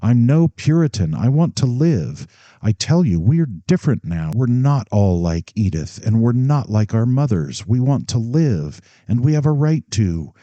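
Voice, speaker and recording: A man's voice: mid-range voice, very animated, a little slow, mellow, no room sound, dull audio, voice pushed up. A man reading an audiobook, voicing a defiant, nearly hysterical young woman on the eve of her wedding, her voice pleading and breaking. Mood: frantic.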